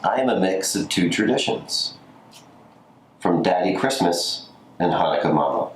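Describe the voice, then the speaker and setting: A man with a notably deep voice reads a storybook aloud. The recording is roomy and echoey, with faint noise behind him. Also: animated, a little slow. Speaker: community story reader. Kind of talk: scripted storybook reading.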